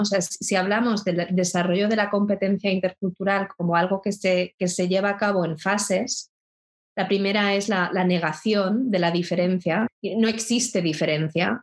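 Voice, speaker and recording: Woman explaining thoughtfully. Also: medium pitch. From Spain. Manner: thoughtful, explanatory, frequent restarts. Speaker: intercultural educator. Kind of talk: reflective interview talk.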